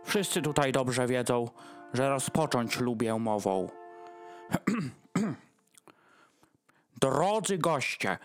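A man's voice: medium pitch, lively intonation, extremely slow, long pauses, faint music behind. A man playing a doting, long-winded dog host in a rhymed radio play, speaking in a ceremonious manner. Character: Freddy, doting, long-winded male dog hosting Christmas dinner.

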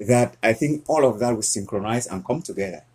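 Earnest Cameroon-born male guest, husky, halting delivery. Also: fairly low pitch, animated, darkish tone. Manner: earnest, halting with frequent restarts. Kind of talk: casual interview conversation.

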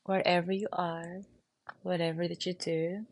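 A Filipino woman with a Filipino accent and a low, gentle voice hosts a reflective podcast from her bedroom. She talks slowly and soothingly and stays calm and intimate throughout. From Philippines. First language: Tagalog. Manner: soft and soothing, slow, with frequent small laughs. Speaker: podcast host. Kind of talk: gentle guided reflection and casual chat. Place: bedroom, sitting on the bed.

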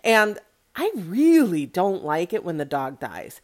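A woman's voice: lowish voice, very animated, slow, darkish tone. A woman hosting a casual, opinionated solo movie commentary, talking in a chatty, candid way with conversational asides. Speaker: podcast host giving movie reviews.

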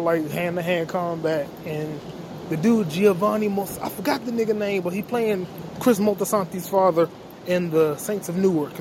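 A man's voice: high voice, background noise. A young man hosting a podcast on his own, rambling, joking and cursing freely while he walks outside at night. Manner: profane, loose, joking. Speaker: podcast host. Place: outdoors on a quiet street.

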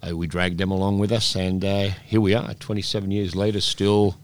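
Low-voiced man in his sixties, rambling storyteller, relaxed interview guest. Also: a little fast. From Scotland, raised in Peru. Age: 60s. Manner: rambling storyteller with many restarts. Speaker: guest, oyster bar operator.

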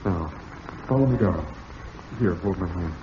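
An actor playing a smooth-talking, falsely cheerful husband with murder in mind, in old-time radio drama style. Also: male voice, sits low, animated, a little slow. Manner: suave, falsely cheerful, darkly ironic. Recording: dull, noisy vintage radio recording.

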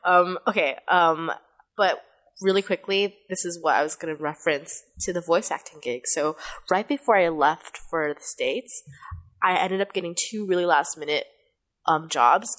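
A woman's voice: fairly low pitch, normal speed, a bit bright. Chatty woman with a kid-like voice. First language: Mandarin. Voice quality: youthful, kid-like voice. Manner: chatty, rambling, laughs often. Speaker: podcast host and voice actress. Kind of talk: casual podcast chat.

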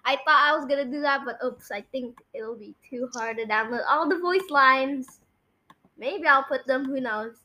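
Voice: high-pitched, normal speed, sharp tone. A podcast host chatting casually and bossily while directing a guessing game.

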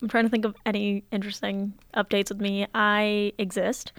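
A young woman in her twenties with a mid-range voice, co-hosting a podcast and running through the news. She talks casually and keeps her humor dry and deadpan. Close, dry studio sound. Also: mid-range voice, moderate pace, no room sound. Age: 20s. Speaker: podcast co-host. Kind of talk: casual chat and news summary. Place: small studio.

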